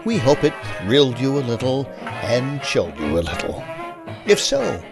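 A man's voice: medium pitch, normal speed, creaky, over music. A man hosting, giving upbeat closing remarks for an audio drama production. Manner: upbeat, animated. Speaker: host.

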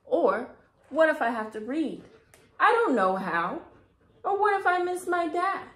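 A woman's voice: high voice, sing-song, measured pace, fairly bright tone, voice pushed up, heavily acted. A woman acts out a small girl from a storybook, anxious and stubborn yet friendly. She speaks earnestly, often in questions, in an echoey room. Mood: worried.

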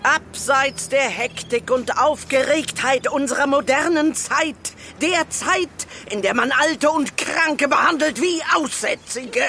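Solemn, slow-speaking stern funeral orator. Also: female voice, high voice, bright, forceful tone, slight background noise, heavily acted. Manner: solemn, declamatory, measured. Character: solemn, stern female head of a retirement home giving a funeral address. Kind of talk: audio drama acting, funeral speech.

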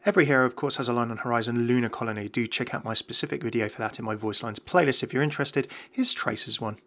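A man's voice: mid-range voice, fast, sharp tone. A man hosting a gaming video, reading scripted lore narration.

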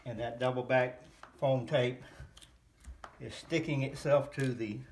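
Middle-aged male DIY demonstrator, gravelly fry in the voice, off-the-cuff short phrases, noisy home recording. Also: mid-range voice, steady tone, measured pace, darkish tone. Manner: unscripted, speaking in short bursts while working. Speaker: hobbyist builder demonstrating his work. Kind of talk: casual hands-on how-to narration. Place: indoor room at home, at a worktable.

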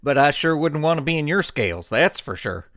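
A young cartoon fish deputy, acted by a man, good-natured but wary. He talks in a folksy, hesitant way with a very lively rise and fall. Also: on the high side, fairly quick. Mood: reluctant. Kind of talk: cartoon audio drama acting.

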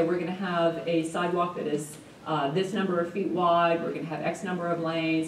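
A middle-aged woman gives a conference keynote from a podium, walking through her points with lots of ums and uhs. Her voice sits a little low and is picked up from far back in a large, echoey hall. A faint hum of the room lies underneath. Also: darkish tone. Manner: explanatory, frequent ums and uhs. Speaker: keynote speaker. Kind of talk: conference presentation. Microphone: podium mic, recorded from the audience. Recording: roomy hall audio from far off.

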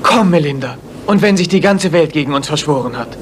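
A man's voice: fairly high pitch, animated, brisk, slight background noise, close to an everyday voice. An actor playing a flustered, pleading young man in a radio play. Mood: urgent, pleading. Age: young adult.

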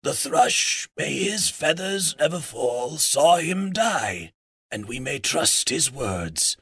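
A man voicing a slow, croaking ancient raven. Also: fairly high pitch, big pitch swings, fairly bright tone, no echo, voice pushed up, exaggerated voice. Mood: grave, weary. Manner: slow, formal, archaic counsel. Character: decrepit ancient raven chief, solemn and wise.

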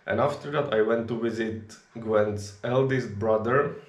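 A young man from the Czech Republic, a native Czech speaker, chatting in relaxed non-native English as a vlogger, recorded on a camera at arm's length in a small kitchen. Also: fairly low pitch, measured pace, roomy sound. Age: young adult. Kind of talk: casual chat.

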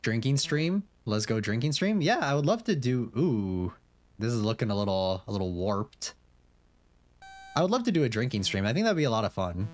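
A man's voice: mid-range voice, big pitch swings, moderate pace. A young man streaming a game, chatting casually and rambling, with lots of fillers and restarts, and reading on-screen questions aloud.

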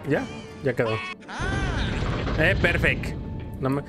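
A man's voice: fairly high pitch, expressive, takes it slowly, loud music behind the voice. A man with a Mexican accent telling a story in casual, colloquial style while commenting as a gameplay host. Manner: colloquial, acts out quotes in passing.

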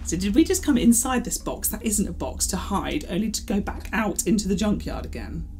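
A man's voice: high voice, big pitch swings, brisk, darkish tone, slight background noise. A man playing a mouthy, anxious young human companion in a fan audio drama, colloquial and questioning. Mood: sceptical.

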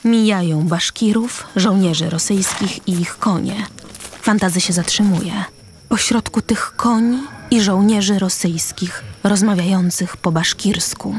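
A woman's voice: medium pitch, a little slow. A woman narrating scripted stage directions for a radio play.

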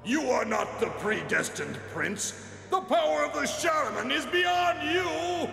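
An actor playing a huge evil demon villain in a cartoon, menacing, gloating and theatrically grandiose. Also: male voice, very high-pitched, expressive, measured pace, creaky, bright, forceful tone, exaggerated voice. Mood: commanding, menacing. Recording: long echoing reverb, music behind.

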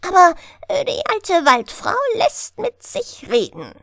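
A woman's voice: extremely high pitch, wildly swooping pitch, slow, no room sound, clean studio sound, voice pushed up, exaggerated voice. A woman voicing a sly, greedy old crone of the forest in a bedtime fairy tale, coaxing and cackling. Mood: cackling, wheedling.

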